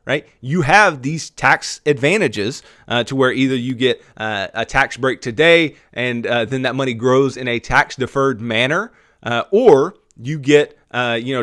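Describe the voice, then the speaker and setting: A man's voice: medium pitch, fairly bright tone, dry recording, studio quality. A man hosting a finance podcast, explaining money topics in a conversational monologue that keeps checking in with "right?" and "okay?". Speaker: podcast host.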